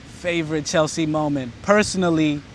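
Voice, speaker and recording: A man from Ethiopia, a guest in a casual interview, speaking calmly and thoughtfully at a steady pace. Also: on the high side, normal speed, slight background noise. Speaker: guest, football fan.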